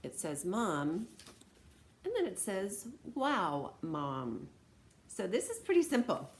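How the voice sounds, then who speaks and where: A woman's voice: medium pitch, wildly swooping pitch, slow, slight background noise. An American woman teacher talking informally and encouragingly to students as she reads aloud and demonstrates things. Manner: encouraging, conversational.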